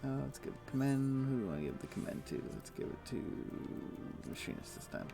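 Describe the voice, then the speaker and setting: A man's voice: medium pitch, sing-song, moderate pace, vocal fry, music in the background. A man streaming a game, chatting casually and explaining things in a loose, rambling way full of fillers. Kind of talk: casual chat while playing.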